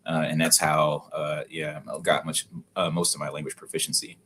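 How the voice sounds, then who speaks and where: A man's voice: a bass voice, little pitch movement, normal speed, a bit bright, a little hiss or hum. A middle-aged man chatting casually as a guest on a livestream, laid-back and swearing now and then. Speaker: guest, card collector.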